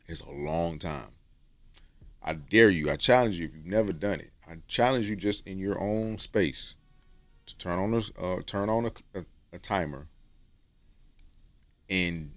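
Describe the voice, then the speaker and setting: A man from Texas with a deep, resonant voice, giving rambling, slangy self-improvement advice to camera and often tagging "okay?". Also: dry recording. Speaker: dating and self-improvement vlogger. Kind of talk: casual talk to camera. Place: room with books on shelves.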